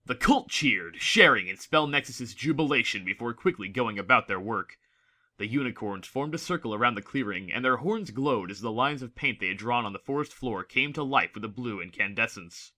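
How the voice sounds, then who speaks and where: A man's voice: mid-range voice, expressive, moderate pace, sharp tone. A man narrating a fantasy story from a script in a dramatic audiobook style.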